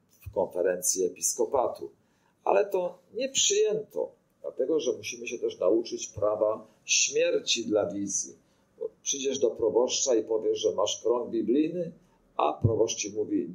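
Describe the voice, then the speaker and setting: A man's voice: on the low side, darkish tone, low-quality recording. An older man, a priest, giving an informal teaching talk into a handheld mic, telling anecdotes and putting rhetorical questions to his listeners. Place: small studio in front of a brick wall. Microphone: handheld microphone.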